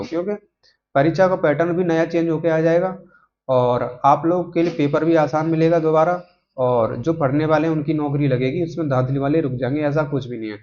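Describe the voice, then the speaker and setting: A man's voice: fairly high pitch, darkish tone. A man informally explaining updates to viewers off the cuff, rambling a little and often checking in with short tags. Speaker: YouTube host giving job exam updates. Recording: dull lo-fi microphone sound.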